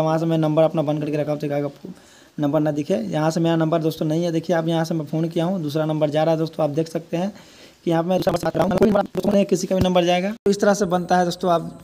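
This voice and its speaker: A man's voice: a little high, mellow. A man presenting an informal step-by-step tech tutorial, repeatedly addressing his viewers as friends. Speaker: host of a tech tutorial channel. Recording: echoey hall-like room, faint noise.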